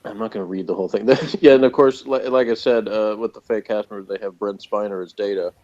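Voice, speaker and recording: A man's voice: lowish voice, steady tone, fast talker, a little mellow. A man in a casual review chat as a podcast reviewer, speaking in a sardonic manner. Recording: slightly dull, lo-fi audio.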